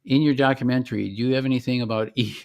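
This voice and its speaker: A man explaining software step by step in a conversational, informal product demonstration. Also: medium pitch, steady tone, racing, vocal fry.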